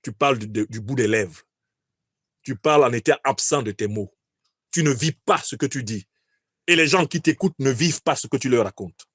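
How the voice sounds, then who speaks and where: A man giving an oratorical political commentary, declamatory, with emphatic pauses and rhetorical questions. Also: mid-range voice, a bit bright, slightly rough, dry recording, studio quality. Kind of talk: oratorical analysis of a speech.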